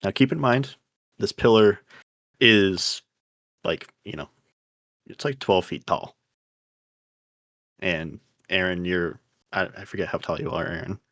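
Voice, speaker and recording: A man's voice: low-pitched, unhurried, pauses often, fairly bright tone, dry recording, studio quality. A man acting as game master, narrating a tabletop role-playing game in an informal, slangy, conversational way.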